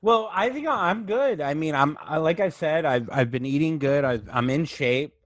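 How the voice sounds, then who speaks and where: A man's voice: on the high side, expressive, normal speed, no echo, studio quality. A man chatting casually as a comedy podcast host, loose, rambling and jokey.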